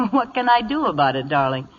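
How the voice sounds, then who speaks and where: A woman's voice: fairly low pitch, very animated, moderate pace. A woman playing a dry, wisecracking, hard-bitten secretary in a radio comedy, plain-spoken and sure of herself. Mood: earnest. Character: wry, hard-bitten female secretary, loyal but defiant. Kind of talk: vintage radio comedy acting. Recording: dull vintage radio broadcast.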